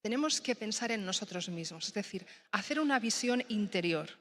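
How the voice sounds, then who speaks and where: A woman whose first language is Spanish, a design teacher speaking on a summit panel in a thoughtful, halting way with many fillers. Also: mid-range voice, animated, a bit bright.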